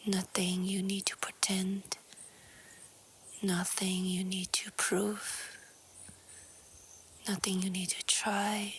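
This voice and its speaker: A woman with a soft, breathy, whispery voice calmly guiding a relaxation meditation in short, gentle phrases. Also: mid-range voice, a little hiss or hum. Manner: calm, hushed, gentle, with short pausing phrases. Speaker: yoga nidra meditation guide. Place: outdoors in nature. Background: faint natural ambience.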